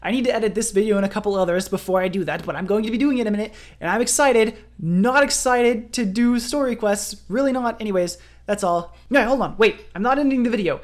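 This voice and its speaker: A woman's voice: mid-range voice, quick pace, hardly any pauses. Woman streamer ranting about a game, emphatic, keeps repeating herself for effect. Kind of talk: ranting gaming commentary.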